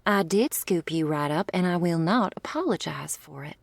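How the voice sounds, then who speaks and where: A woman's voice: on the low side, lively intonation, moderate pace, no echo. A woman playing a teasing, doting female vampire lover in an intimate ASMR roleplay, with whispery asides and quaint, affectionate wording. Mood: tender, protective. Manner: breathy asides, pet names, quaint turns of phrase.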